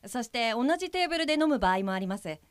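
A woman's voice: a little high, very animated, quick pace, clean tone, dry recording. A woman playing an earnest guide helper for blind people in an audio drama, explaining in a polite, businesslike manner. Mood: matter-of-fact.

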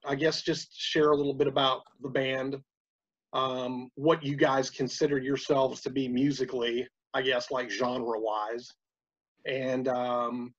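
A man's voice: mid-range voice, muffled recording. A man hosting a casual interview with a band, speaking hesitantly with many fillers.

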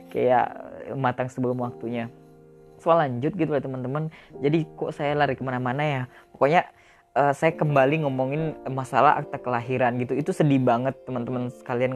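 A young man hosting an audio podcast, telling his own story in a casual motivational monologue, chatty and full of fillers, dropping in English words. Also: mid-range voice. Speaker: podcast host. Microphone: audio podcast recording.

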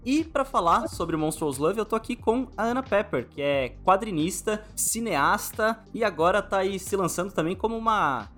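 A man's voice: sits high, lively intonation, moderate pace, hardly any pauses, fairly bright tone, soft background music. A Brazilian man hosting a podcast interview in an informal, chatty, slangy way.

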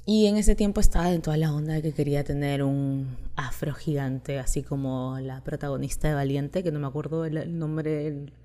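A woman's voice: low-pitched, dark tone. A young woman, raised in Chile, hosting a podcast and telling personal stories in a chatty, confiding way, laughing often. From Peru and Chile, raised in Chile. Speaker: podcast host. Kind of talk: casual personal storytelling.

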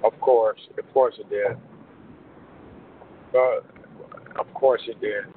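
A man's voice: fairly low pitch, fairly even intonation. Earnest man on a phone line. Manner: measured and earnest, with many pauses. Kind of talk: interview conversation. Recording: muffled phone line, faint hiss.

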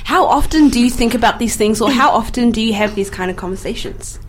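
A woman hosting a casual podcast, upbeat and quick to laugh. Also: on the high side. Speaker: podcast host. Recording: faint background noise.

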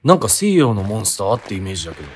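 A man's voice: on the low side, big pitch swings, very fast, a little hiss or hum. An actor playing a naive, doubtful young man questioning his friend casually in a comic radio drama. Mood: sceptical.

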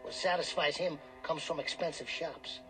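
An actor in a radio drama playing a pushy, greedy small-time hustler who talks in cocky, needling slang. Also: male voice, a little high, fairly even intonation, moderate pace, natural voice, rough recording. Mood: bullying. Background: music.

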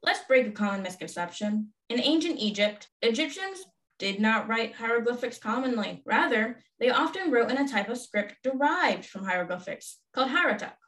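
A young woman hosts a history podcast and reads from her script with enthusiasm. Her voice sits a bit high. She is heard from some distance in a room with a lot of echo. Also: expressive, moderate pace, fairly bright tone. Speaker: podcast host. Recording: echoey room, voice off-mic.

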